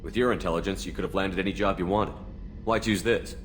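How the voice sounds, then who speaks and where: A man playing an earnest, steady starship commander in a video game, speaking in a direct, businesslike way. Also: low-pitched, fairly quick, over music, natural voice. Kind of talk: video game character acting.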